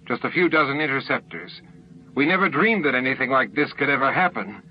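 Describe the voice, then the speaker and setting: A man's voice: mid-range voice, expressive, a little fast, dull audio, a little hiss or hum, voice pushed up, close to an everyday voice. A man voicing a panicked leader of a threatened world in a sci-fi audiobook, urgent and snapping. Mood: alarmed.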